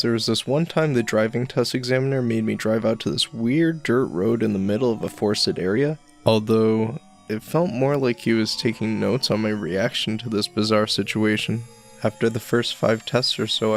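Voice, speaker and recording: A man's voice: fairly low pitch, lightly acted. A man playing a stubborn, paranoid young driver telling his spooky tale in an audio drama. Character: stubborn, paranoid young male driving-test taker. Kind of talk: audio horror anthology acting. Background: music.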